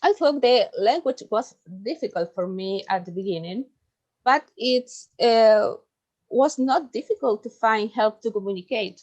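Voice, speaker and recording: A young Chilean woman, a native Spanish speaker, carefully reading a research presentation in English with halting ums. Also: fairly high pitch, animated, a little mellow, muffled recording.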